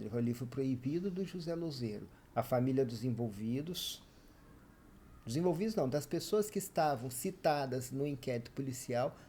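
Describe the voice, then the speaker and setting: A man from talks through a book in a relaxed panel chat. His voice is mellow and dark, and he presses his points hard, often circling back to restate them. A faint noise sits under the recording. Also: medium pitch. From Espírito Santo, Brazil. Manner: emphatic, explains at length with restarts. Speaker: co-reviewer. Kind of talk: casual book discussion.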